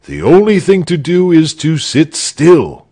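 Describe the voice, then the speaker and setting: Man playing a stoic hero, solemn sayings, firm slightly raised voice, husky, slow. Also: a little high, wildly swooping pitch, dark tone, pitched above the performer's own voice, heavily acted. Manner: solemn, aphoristic. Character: calm stoic heroic man of few words.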